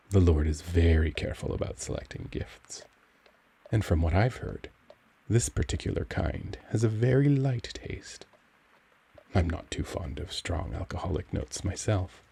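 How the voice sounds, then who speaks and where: A man playing a young, charming, cultured vampire gentleman in an ASMR audio drama, speaking in a gentle, caring, genteel and formal manner. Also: low-pitched, unhurried, creaky, breathy edge, heavily acted. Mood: suave, conversational. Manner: genteel, formal, soft-spoken, courtly phrasing.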